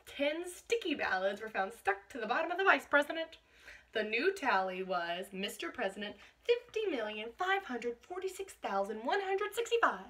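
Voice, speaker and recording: A woman reading a picture book aloud to children as a teacher, expressive, with explanatory asides and questions for young listeners. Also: on the high side, breathy edge.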